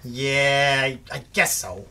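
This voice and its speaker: A man's voice: mid-range voice, slow pace, pushed and bright, slight background noise, heavily acted. A man playing a naive, eager young studio worker, boyish and earnest with a stammer, in old-time radio drama style. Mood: accusing.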